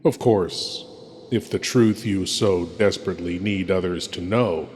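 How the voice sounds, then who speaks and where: A man's voice: deep voice, unhurried, a little mellow. A man playing an ominous horror host, delivering a solemn, dramatic scripted monologue. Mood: brooding, ominous. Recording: long cavernous reverb, faint noise.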